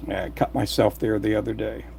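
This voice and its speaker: A man's voice: on the low side, level tone, moderate pace, vocal fry, mellow, slight background noise. A man in his late fifties from Texas with a country accent, chatting casually and folksily on his livestream, with many fillers. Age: 50s. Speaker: fishing jig maker and channel host.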